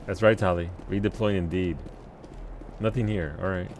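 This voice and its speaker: A man's voice: deep voice, steady tone, moderate pace, noisy background. A man casually chatting to his viewers while playing a game live, rambling and laughing at himself. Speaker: game streamer. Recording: game music playing underneath. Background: game music.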